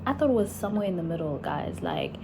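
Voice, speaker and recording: A young woman from Africa, musing slowly in a casual travel vlog, full of sighs and likes. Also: on the low side, wildly swooping pitch, noisy background. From South Africa. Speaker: vlogger. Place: in Italy while travelling.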